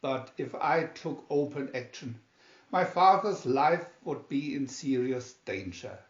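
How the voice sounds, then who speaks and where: A man's voice: medium pitch, expressive, slow pace, echoey room, highs cut off. A man reading the part of a refined, airy young Spanish nobleman in a storybook. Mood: troubled, confiding. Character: Don Diego, airy young Spanish nobleman feigning foppish timidity.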